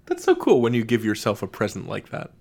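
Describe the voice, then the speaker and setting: A man's voice: on the low side, wildly swooping pitch, fairly quick, creaky, darkish tone, slightly rough. A man hosting an interview podcast in a conversational, presenterly style. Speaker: podcast host. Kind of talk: friendly interview chat and scripted outro.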